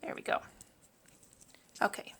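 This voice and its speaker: A woman hosting a casual craft tutorial in a raspy, hoarse voice, talking informally and a little haltingly as she works. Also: a bit bright. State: hoarse, throat-clearing from long talking. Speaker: host of a papercraft tutorial. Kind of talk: casual craft demonstration, talking while working.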